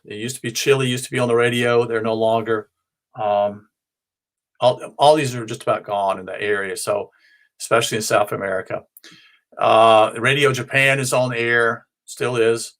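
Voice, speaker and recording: Halting man giving an informal explainer. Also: mid-range voice, a bit bright. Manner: halting, rambling, searching for words. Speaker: presenter of a ham and shortwave radio show. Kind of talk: informal explanatory talk, unscripted.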